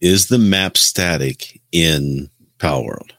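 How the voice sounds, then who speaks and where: A man with a low, deep voice chats in a dry, close recording. His talk is casual and opinionated, pressing points and questions the way a gaming podcast co-host does. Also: slow, fairly bright tone, no room sound. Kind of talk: casual chat about video games.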